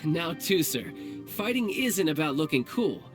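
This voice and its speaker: Game casting: playful, theatrical young fighter and big brother, teasing, confident, very animated delivery. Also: male voice, fairly high pitch, a little slow. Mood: gentle, earnest. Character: playful confident young man, warrior and doting older brother. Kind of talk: video game voice acting. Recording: game music behind the voice.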